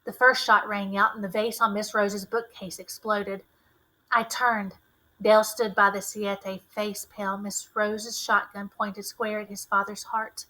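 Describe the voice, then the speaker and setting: A woman reading a novel aloud as a teacher would, in expressive narration. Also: medium pitch, a little slow, rough recording. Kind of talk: expressive scripted audiobook narration.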